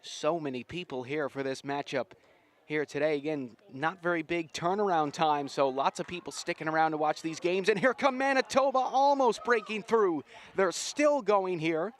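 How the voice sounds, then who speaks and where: A man's voice: on the high side, normal speed. A man calling live rugby play-by-play outdoors in an enthusiastic, folksy, slangy way. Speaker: play-by-play sports commentator. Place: outdoors at a sports field.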